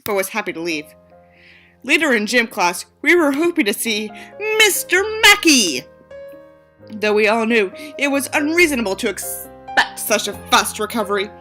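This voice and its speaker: A woman with a high, bright voice reads an audiobook theatrically. She is sarcastic and sweary, swinging widely in pitch, with soft music underneath. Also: very animated, moderate pace. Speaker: audiobook reader and commentator. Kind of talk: scripted narration with sarcastic asides.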